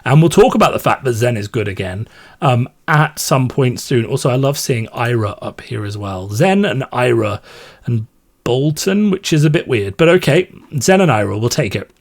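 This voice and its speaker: A man hosts his own podcast, speaking off the cuff to regular listeners. His voice sits at a middling pitch, and his delivery is casual and rambling, with a self-deprecating, conversational manner. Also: animated, normal speed. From Ireland, born in London and raised in Limerick from age eight. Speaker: podcast host and content creator. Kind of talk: casual unscripted talk to subscribers.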